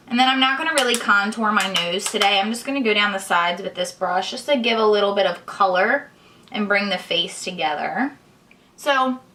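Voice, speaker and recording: A young woman, hoarse and congested, chatting casually while she explains each step of her makeup tutorial. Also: medium pitch, pushed and bright. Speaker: beauty vlogger. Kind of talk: chatty get-ready-with-me tutorial.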